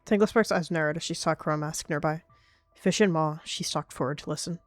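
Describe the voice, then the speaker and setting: A woman's voice: low-pitched, brisk, no room sound, faint music behind. A woman narrating an animal fantasy roleplay as a storyteller.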